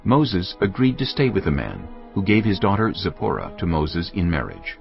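A deep-voiced man reads scripture in a measured, solemn delivery. His very low voice moves widely in pitch. Soft music plays under a slightly dull recording. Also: big pitch swings, creaky. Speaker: narrator. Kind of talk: scripted Bible narration.

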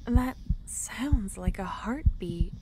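A woman playing a shy, kind-hearted young witch in an ASMR fantasy romance roleplay, speaking softly and hesitantly, often close to a whisper. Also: medium pitch, lively intonation, slow, a little hiss or hum. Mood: startled, anxious. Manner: soft, breathy, hesitant, often dropping to a whisper. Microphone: close ASMR microphone.

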